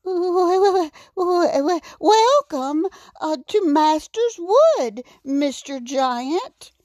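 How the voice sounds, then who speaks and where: A woman's voice: very high voice, expressive, very slow, a little mellow, dry recording, studio quality, pitched above the performer's own voice, heavily acted. A woman voicing a timid little male raccoon in a children's story, nervous, hesitant and stammering. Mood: frightened, stuttering.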